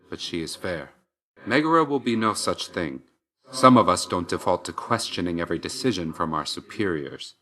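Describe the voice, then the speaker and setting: An actor playing a stern, reserved young god of death in a video game, speaking in a measured, aloof, dry way. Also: male voice, sits low, bright, forceful tone. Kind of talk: scripted game character dialogue.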